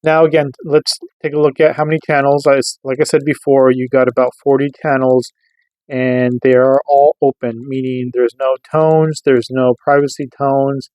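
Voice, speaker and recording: A man's voice: medium pitch, flat delivery, normal speed, a little mellow, no echo. A man giving a casual, hands-on gear review, explaining informally and often checking in with the listener. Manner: informal, loosely explanatory, rhetorical check-ins. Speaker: host of a radio gear review channel.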